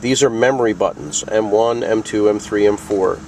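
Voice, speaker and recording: A man's voice: on the low side, moderate pace, a little mellow. Man giving a casual tutorial, distant noisy recording. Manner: plain-spoken, explanatory, step by step. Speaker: video host demonstrating a radio.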